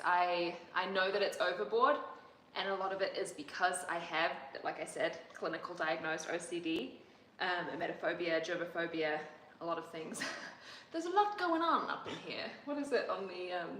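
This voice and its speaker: A woman's voice: on the low side, normal speed, a bit bright, echoey room, a little hiss or hum, poor audio. A young woman who grew up in New Zealand, chatting casually and rambling as she co-hosts a livestream with her husband. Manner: chatty, rambling, laughs often. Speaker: co-host of a livestream.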